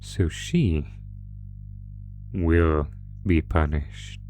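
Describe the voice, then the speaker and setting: A low-voiced male monster, acted by a man, drawls cruel taunts to captive prey. The delivery is theatrical and unhurried, in the style of an ASMR horror roleplay. Music plays clearly beneath the voice. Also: warm tone, exaggerated voice. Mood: mocking, toying.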